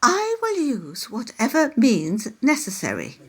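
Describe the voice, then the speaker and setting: Jobsworth care assistant , acted by a woman, slightly high and breathy-rough, stiff, formal, unbending, for radio drama. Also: huge pitch swings, measured pace, exaggerated voice. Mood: defiant. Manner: stiff, officious, over-formal. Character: rigid, humourless female care worker, robotically by-the-book. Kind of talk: amateur radio play acting.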